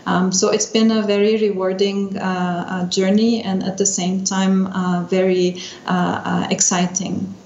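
A middle-aged woman from Lebanon giving unscripted interview answers, pausing often as she searches for words. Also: medium pitch, little pitch movement, darkish tone. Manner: hesitant, searching for words with frequent pauses. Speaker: interview guest, energy division chief. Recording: dull lo-fi roomy sound, faint noise.

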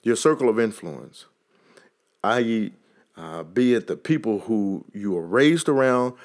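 A man's voice: lowish voice, lively intonation, measured pace. Texas man giving a motivational talk. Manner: repetitive, building preacher-like emphasis. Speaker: podcast host.

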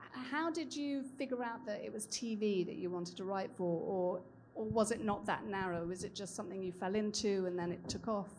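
A woman's voice: medium pitch, moderate pace, darkish tone, slight background noise. A woman with a mid-range voice hosts a live writers' panel, leading with questions and short, encouraging replies. Faint ambience from the event surrounds her. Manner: encouraging, brief prompts. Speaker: panel host. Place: event stage with audience.

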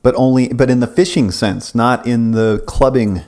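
A man's voice: mid-range voice, normal speed, darkish tone. A man co-hosting a casual podcast, wry and teasing, asking probing questions. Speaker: podcast co-host. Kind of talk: casual chat.

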